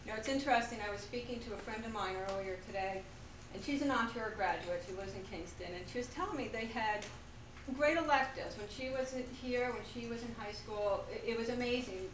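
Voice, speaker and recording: A woman in her thirties giving an earnest public comment at a town meeting, with some halting fillers. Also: medium pitch. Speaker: school board candidate. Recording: echoey room from far off, noisy.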